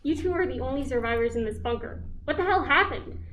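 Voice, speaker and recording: A high-voiced actress as a female investigator in a radio drama, businesslike as she questions survivors. There is faint noise behind her. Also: lively intonation, quick pace, a bit bright. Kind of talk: radio play acting.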